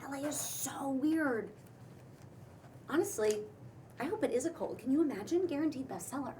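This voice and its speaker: A woman's voice: high voice, animated, moderate pace, warm tone. A woman playing a breezy, wry young writer in a conversational table read of a pilot script. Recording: faint background noise.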